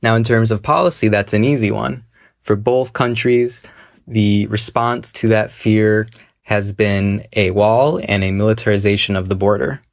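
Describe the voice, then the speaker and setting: Male caller, low voice, dry close phone recording, measured analytical voicemail with hesitant restarts. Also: fairly even intonation, no room sound. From United States. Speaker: listener calling in a voicemail. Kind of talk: prepared voicemail commentary.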